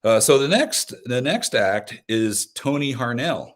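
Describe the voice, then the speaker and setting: A man's voice: mid-range voice, sing-song, a little slow. A man chatting casually as a guest, rambling, joking and often cutting himself off. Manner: rambling, self-interrupting, joking. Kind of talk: casual chat about concerts.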